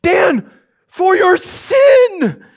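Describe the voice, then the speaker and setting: A man voicing the anguished, urgent ghost of a long-dead brother, with drawn-out, wailing warnings in a told story. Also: very high voice, very animated, voice pushed up, full character voice.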